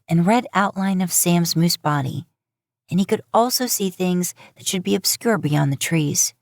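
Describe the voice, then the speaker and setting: Low-voiced woman narrating a story. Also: a little mellow, no echo, studio quality. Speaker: podfic narrator.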